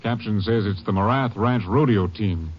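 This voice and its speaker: An actor playing a businesslike, matter-of-fact Texas Ranger captain in a 1950s radio drama. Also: male voice, lowish voice, normal speed, slightly rough. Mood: informative. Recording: muffled old radio broadcast.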